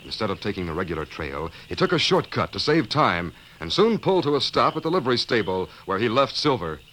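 A man's voice: fairly low pitch, big pitch swings, a little fast, a bit bright. A man narrating a 1950s radio western serial in a dramatic scripted style.

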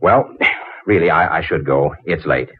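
An actor playing an earnest, slightly awkward inventor with a hesitant, thoughtful delivery in 1940s radio drama style. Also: male voice, a bass voice, normal speed, breathy edge. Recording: muffled old 1940s radio recording.

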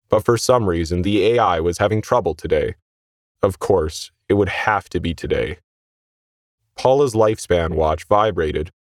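A man's voice: sits low, dry recording, clean studio sound. A man narrating a scripted short story as its reader.

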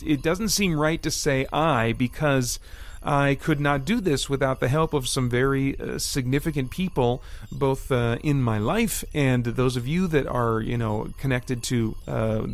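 Male podcast host, medium pitch. Casual, self-interrupting delivery that drifts between script and off-the-cuff asides. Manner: rambling, self-interrupting, thinking aloud.

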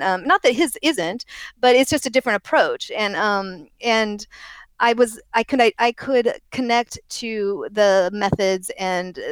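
A woman's voice: mid-range voice, clean tone, dry recording, poor audio. A woman from Oregon with a very young-sounding voice, talking as an interview guest in a rambling, self-correcting way and laughing often. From Oregon, USA. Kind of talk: casual interview conversation.

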